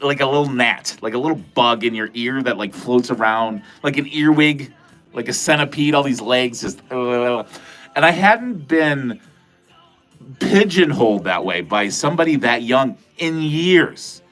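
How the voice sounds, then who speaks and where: A man's voice: mid-range voice, a little slow, fairly bright tone, faint music behind. Rambling middle-aged man, chatty livestreamer. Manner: rambling, filler-heavy, crude jokey talk. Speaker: livestream host. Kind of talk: casual livestream chat answering viewer comments. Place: at home.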